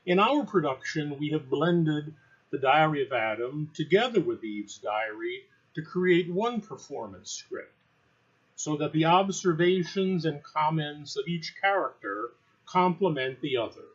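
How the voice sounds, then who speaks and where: A man's voice: fairly high pitch, animated, measured pace, husky, lo-fi sound. A man working as an announcer, delivering a scripted introduction to an audio play.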